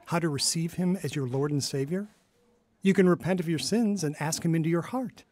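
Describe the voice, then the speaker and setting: An earnest young pastor played by a man speaks in a fairly high male voice. He is gentle and instructive, in the manner of a radio drama. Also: fairly quick, lightly acted. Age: young adult.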